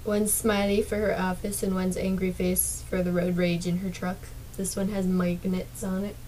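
A young woman streaming a game from her small dark bedroom, chatting casually to viewers with a sore, partly lost voice and drifting into mumbles. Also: on the low side, little pitch movement, normal speed, slight background noise, rough recording. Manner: soft-spoken, drifts into whispers and mumbles. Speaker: gaming streamer.

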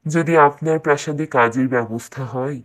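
A woman's voice: a bass voice, normal speed, voice pushed down, rough recording. A woman voicing a humbled, weary king in a cartoon fairy tale, speaking in a solemn, formal way. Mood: pleading.

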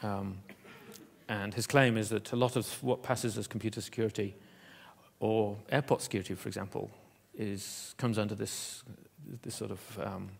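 A man giving a conference keynote in an auditorium, talking in a halting way with many fillers and restarts. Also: on the low side, fairly even intonation, creaky, breathy edge. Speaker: keynote speaker.

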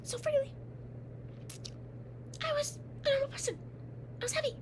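A woman's voice: very high-pitched, fairly even intonation, normal speed. A young woman playing a haughty, jealous teen vampire girl in a comedic roleplay, blunt and sarcastic with whispered asides, recorded on a webcam in a dim bedroom. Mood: dramatic. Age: young adult. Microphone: webcam at close range. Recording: noisy home webcam audio.

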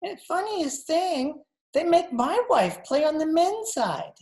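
A man's voice: very high voice, very animated, slow, a little mellow, full character voice. A man voicing a gleeful, silly male sidekick with a giggly, gushing manner in old-time radio comedy. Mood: chirpy, eager. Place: indoor room at home. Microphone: computer mic on video call.

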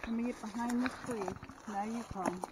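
Woman helping haul a log, points out plants, slightly high voice, noisy outdoor cam audio. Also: moderate pace. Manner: practical, names plants, gives directions. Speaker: partner helping on the trail. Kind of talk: casual chat while working. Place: outdoors in a steep wooded forest. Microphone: camera worn by another person. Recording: outdoor camera mic with loud noise.

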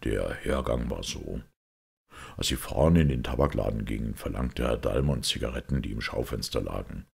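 A man playing a calm, shrewd priest detective who explains quietly and with compassion, in a measured audiobook reading. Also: a bass voice, vocal fry, slightly rough, exaggerated voice.

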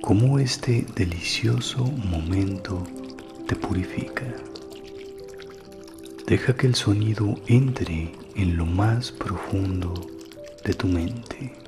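A man hosting a guided meditation, giving soothing, unhurried instructions, recorded as narration for relaxation. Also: low voice. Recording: voice over music and water sounds. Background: relaxation music, running water.

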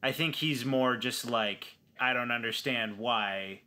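A man speaks as a player in a tabletop game, with a bright, forceful voice of medium pitch. He talks casually and emphatically, repeating phrases as he works out his character. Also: takes it slowly. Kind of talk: casual, improvised character talk.

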